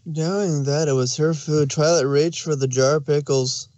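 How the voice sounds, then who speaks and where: Male narrator for a read-aloud fan story: fairly high voice, very little room sound. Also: steady tone, normal speed, a little mellow, no echo.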